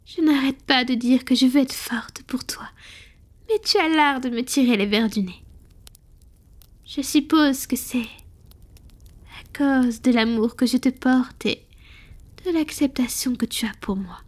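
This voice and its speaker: A woman playing a tender, conflicted female vampire in an intimate whispered ASMR roleplay, breathy, slow and hesitant. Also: high voice. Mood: tender, loving. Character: tender, conflicted wealthy female vampire confiding in her beloved.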